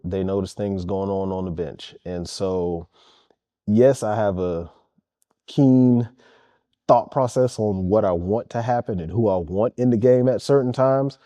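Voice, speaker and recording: Earnest male coach in an interview; low, dark voice, dry close sound. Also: no echo. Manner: earnest and emphatic, repeats key points. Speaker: head basketball coach. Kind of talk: interview answers.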